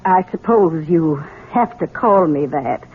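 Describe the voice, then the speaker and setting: An embittered old mother with a husky, worn voice, sardonic and deliberate in her delivery. It is 1970s radio drama, heard through a muffled, narrow-band recording. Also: sits low, expressive, heavily acted. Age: older. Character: bitter old mother once declared dead.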